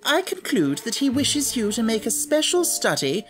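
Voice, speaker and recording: A man's voice: very high voice, huge pitch swings, moderate pace, a bit bright, voice pushed up, heavily acted. A man voicing a severe, imposing headmistress for an audiobook, stiff, formal and tartly polite. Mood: sour, disapproving. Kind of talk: audiobook character voice.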